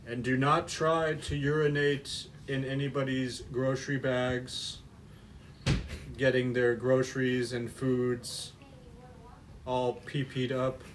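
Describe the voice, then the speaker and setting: A man voicing a stern male train announcer with a put-on accent, reading out rules in a slow, flat way. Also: medium pitch, slight background noise, natural voice.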